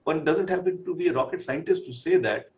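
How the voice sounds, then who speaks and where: A man with an Indian accent commenting on a live TV debate as a diplomat panelist, emphatic, with halting phrasing. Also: on the high side, muffled recording. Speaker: former ambassador as panelist.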